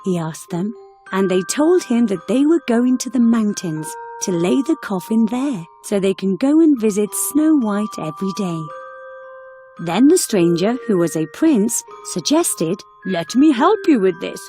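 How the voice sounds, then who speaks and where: Mellow, expressive woman storyteller. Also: medium pitch, unhurried, soft background music. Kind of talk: scripted fairy-tale narration for children.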